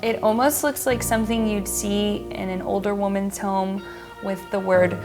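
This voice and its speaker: A woman's voice: mid-range voice, fairly even intonation, moderate pace. A woman chatting casually as a guest painter, giving candid, opinionated reactions to pictures. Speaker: guest painter reviewing photographs. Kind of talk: casual chat. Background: faint music.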